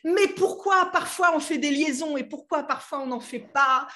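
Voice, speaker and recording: A woman's voice: sits high, normal speed, very noisy. A French woman hosting an interview, chatting easily with lots of fillers and laughter. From France. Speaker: host and French teacher. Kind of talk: friendly interview chat.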